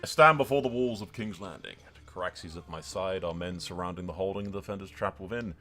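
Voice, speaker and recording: A man's voice: deep voice, fairly quick, pushed and bright, voice pushed down. A man voicing a grim, menacing warrior prince, narrating a dragon battle in solemn, theatrical fantasy roleplay.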